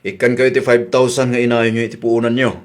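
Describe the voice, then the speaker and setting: A man playing a calm, dutiful grown son. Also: mid-range voice, a little fast, natural voice. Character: grown son helping his mother. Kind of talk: Ilocano radio drama acting.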